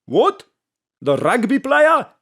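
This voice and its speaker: Hammy Afrikaans-accented millionaire villain. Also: male voice, very high voice, huge pitch swings, slow, a bit bright, full character voice. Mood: pompous. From Birmingham. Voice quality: hammy, theatrical, sneering. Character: eccentric scheming millionaire villain, man, middle-aged. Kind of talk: comic audio drama acting. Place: home studio.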